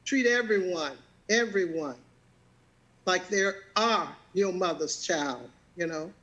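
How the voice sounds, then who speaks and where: A woman in her seventies with a Southern American accent, sharing a personal testimony in a halting way, often repeating words and laughing easily. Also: fairly low pitch, fairly bright tone, muffled recording. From Southern United States. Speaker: guest.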